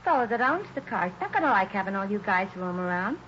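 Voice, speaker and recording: Quick, breezy young dame. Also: female voice, mid-range voice, very animated, a bit bright. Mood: smitten, breezy. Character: chatty, naive young woman smitten with her boyfriend. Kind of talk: 1950s radio acting. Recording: dull, noisy 1950s radio recording.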